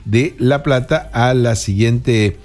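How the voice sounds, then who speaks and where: A man with a Rioplatense Argentine accent reads out football results on a relaxed radio show. He agrees readily with the host and speaks at a medium pitch for a man. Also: slow pace. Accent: Rioplatense Spanish. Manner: agreeable, says "claro" often, lists results. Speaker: sports co-host. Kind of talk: casual sports rundown.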